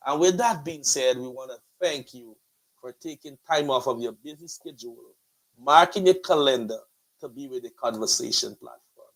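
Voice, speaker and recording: A man's voice: medium pitch, normal speed, low-quality recording. A man with a Guyanese accent hosting a motivational show like a preacher, with emphatic, flowery, repetitive rhetoric. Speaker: host and pastor of a motivational online show.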